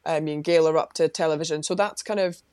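A woman's voice: low voice, steady tone, fast, darkish tone, smooth, dry recording, clean studio sound. A woman being interviewed as a guest, talking casually in a rambling way, full of fillers and restarts. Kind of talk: casual chat.

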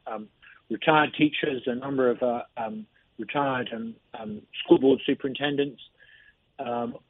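A man being interviewed as a lawmaker, speaking in a measured way and often repeating words as he begins a sentence, heard over a phone line. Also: a little high, breathy edge, no room sound. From not from Mississippi. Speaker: interview guest, state lawmaker. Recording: tinny phone line, dry.

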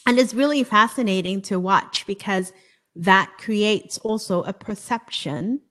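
A woman's voice: mid-range voice, a little slow, a bit bright. Earnest woman from Hong Kong, interviewing. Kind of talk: conversational interview.

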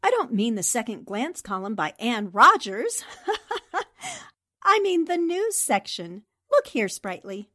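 Sharp lady columnist, played by a woman, 1940s radio drama: highish, very lively pitch, theatrical, knowing, teasing. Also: slow, full character voice. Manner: theatrical, condescending endearments. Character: shrewd, worldly, teasing newspaperwoman columnist.

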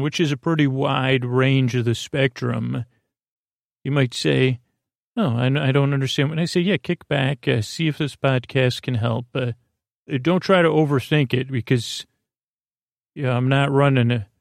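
A man speaks in a gravelly, creaky voice, close and dry with hardly any room sound. He hosts a bedtime podcast as a rambling, improvised monologue. His delivery is soothing and halting, wandering off into tangents. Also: mid-range voice, dry recording. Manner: meandering, halting, trails off into tangents; soothing, lulling. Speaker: podcast host.

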